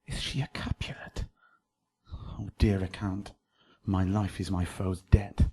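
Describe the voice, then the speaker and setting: Romantic young lover, mellow and husky, slow measured verse, Shakespearean radio play. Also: male voice, sits low, flat delivery, heavily acted. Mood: dismayed. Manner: ardent, measured verse delivery. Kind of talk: Shakespearean radio drama acting.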